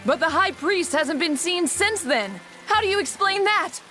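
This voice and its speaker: A woman's voice: very high voice, lively intonation, normal speed, pushed and bright, faint music behind, exaggerated voice. A woman playing an anxious, gossiping townsperson in a video game, urgent and questioning. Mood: worried.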